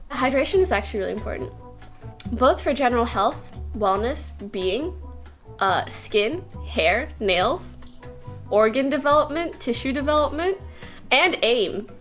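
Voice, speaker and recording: A woman's voice: high voice, big pitch swings, a little slow, pauses often, a bit bright, soft background music. A young woman streamer giving a casual, joking tour of her desk setup, chatty and giggly.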